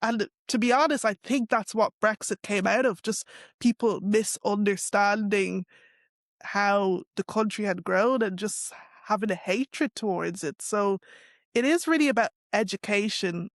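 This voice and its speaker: A woman's voice: medium pitch, measured pace, dry recording, studio quality. A young woman, answering a podcast interviewer in a frank, earnest way and leaning on "like" and "you know". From Ireland. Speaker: interview guest.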